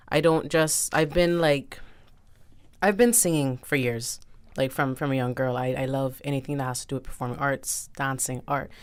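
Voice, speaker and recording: A young woman singer being interviewed on radio, talking casually with many pauses and fillers. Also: deep voice, smooth. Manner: hesitant, many fillers and restarts, laughs often. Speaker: singer guest.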